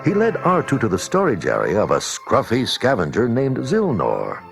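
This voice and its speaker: A man's voice: medium pitch, huge pitch swings, moderate pace, creaky, music in the background. A man narrating a scripted children's read-along story.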